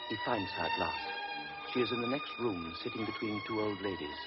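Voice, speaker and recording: A man narrating a literary radio drama in a deliberate, solemn manner. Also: fairly low pitch, close to an everyday voice. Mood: measured. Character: measured storyteller of a pre-war tale. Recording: dull vintage radio recording with music.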